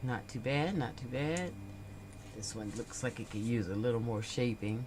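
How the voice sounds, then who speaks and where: A woman hosting a relaxed crafting livestream, talking through each step as she works, her voice a little nasal and stuffy from a cold. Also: rumbling low, moderate pace, noisy background. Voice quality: slightly nasal.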